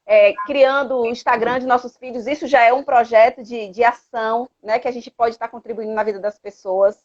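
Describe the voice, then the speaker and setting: A woman from Salvador with a Bahian Brazilian accent hosts a casual live chat. Her voice sits fairly high and she speaks in an earnest, exhortative way, checking in with little tag questions. The livestream audio is dull and lo-fi. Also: steady tone, fairly quick, darkish tone. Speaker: host of a live chat, activist parent. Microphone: live social media video call.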